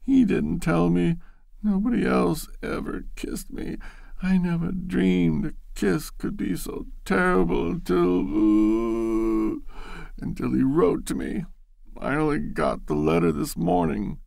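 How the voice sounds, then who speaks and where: A man's voice: on the high side, very animated, a little slow, vocal fry, a little mellow, no echo, pitched above the performer's own voice, heavily acted. A man doing a tearful, quavering young woman. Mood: distraught, pleading. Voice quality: quavering, sobbing.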